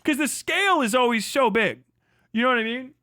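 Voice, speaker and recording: A man's voice: very high voice, lively intonation, a little fast, sharp tone, no room sound, studio quality. A young man streaming, chatting casually in a crude, incredulous way with frequent laughter. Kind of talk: casual chat.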